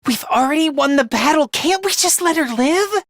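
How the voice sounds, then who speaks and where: A man's voice: very high voice, lively intonation, moderate pace, a bit bright, no echo, studio quality, heavily acted. A man voicing a timid, anxious, self-loathing young male student in an anime video game, with a thin voice and a stammering, whiny delivery. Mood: anxious pleading.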